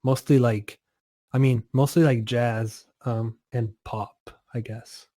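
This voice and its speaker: A man in his twenties from Italy, a music producer chatting casually as a guest, relaxed and often laughing, with many fillers. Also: mid-range voice, slow pace, dry recording, clean studio sound. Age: 20s. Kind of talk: casual interview over a call.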